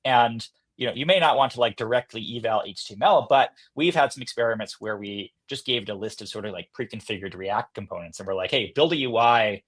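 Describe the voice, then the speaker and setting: A man with a fairly low voice talks casually as an interview guest from a tech company. He thinks aloud, hesitating and circling back as he builds his explanations in a conversational way. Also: a little fast, hardly any pauses, a bit bright.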